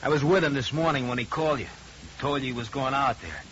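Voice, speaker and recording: An actor playing an earnest, blunt hired investigator with a direct, insistent delivery in 1950s radio drama style. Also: male voice, mid-range voice, lively intonation, fast, fairly bright tone, close to an everyday voice. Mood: accusing. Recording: dull, hissy 1950 radio recording.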